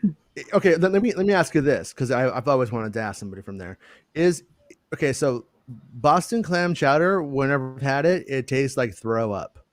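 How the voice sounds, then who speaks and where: A man in relaxed conversation, hosting a call and tripping over his own words. His voice sits at an ordinary middle pitch, and the sound is close and dry with no room around it. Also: moderate pace, no echo. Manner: stammering, repeats words, self-deprecating jokes. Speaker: podcast host. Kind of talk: casual chat.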